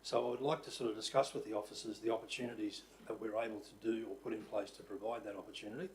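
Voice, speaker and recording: An Australian man chairs a council meeting in a croaky, fairly low voice. He hesitates often, full of fillers, but stays polite. A faint hum sits behind him in the chamber. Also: fairly even intonation, fast talker, darkish tone. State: croaky voice after illness.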